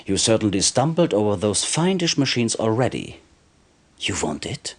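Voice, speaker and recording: A sly, low-voiced arms dealer. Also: male voice, big pitch swings, normal speed, a little hiss or hum. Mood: smug, jovial. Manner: smug, chuckling salesman patter. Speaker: game character actor. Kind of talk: video game cutscene acting.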